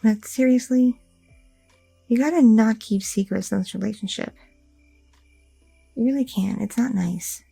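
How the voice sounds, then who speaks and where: A young woman playing a loving, chatty goth girlfriend in an ASMR roleplay, talking softly and intimately with lots of fillers, close to the mic. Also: on the high side, a little slow, gravelly, pauses often, husky, no echo, faint music behind, heavily acted. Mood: tender, candid. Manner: soft, intimate, rambling with many fillers.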